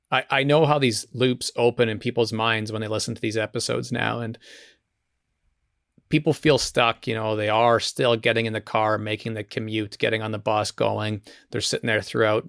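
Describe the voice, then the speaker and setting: A podcast host speaks in a somewhat low, gravelly voice, recorded dry and close. He rambles through his questions, leaning on "like" and "you know". The delivery is loose and conversational. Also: a bit bright, no echo. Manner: rambling, many "like" fillers. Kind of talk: casual interview talk.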